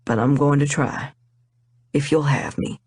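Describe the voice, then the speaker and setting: A woman voicing an earnest young man proposing, speaking softly and deliberately. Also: sits low, husky, no room sound, clean studio sound, full character voice. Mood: tender. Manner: soft, heartfelt, deliberate.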